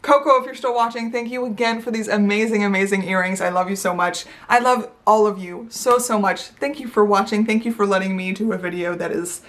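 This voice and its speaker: A woman's voice: mid-range voice, steady tone, brisk, few pauses, fairly bright tone. A young woman talking to her viewers in an unscripted, rambling vlog chat with playful asides. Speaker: YouTuber. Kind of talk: unscripted casual chat to camera.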